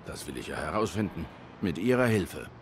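Deep-voiced brooding detective tomcat. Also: male voice, big pitch swings, normal speed, slight background noise. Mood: earnest, restrained. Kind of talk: noir game dialogue acting.

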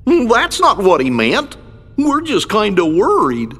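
An actor voicing a gentle, goofy cartoon dog who drawls in a folksy way. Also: male voice, high voice, wildly swooping pitch, takes it slowly, long reverb tail, exaggerated voice. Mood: concerned. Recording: game cutscene audio with music.

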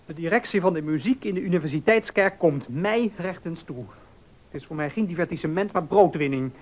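A high-pitched actor's voice as an indignant male musician in a period docudrama, formally insisting on his rights. The recording is dull and lo-fi. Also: fairly quick, lightly acted. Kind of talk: Dutch docudrama acting.

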